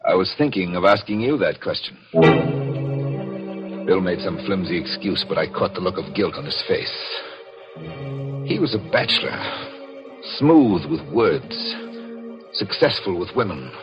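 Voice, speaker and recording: An actor playing a jealous, tormented husband, narrating in an intense, brooding style of 1940s radio horror melodrama. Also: male voice, sits low, lively intonation, a little slow, pauses often. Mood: suspicious, brooding. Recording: muffled vintage radio recording with music.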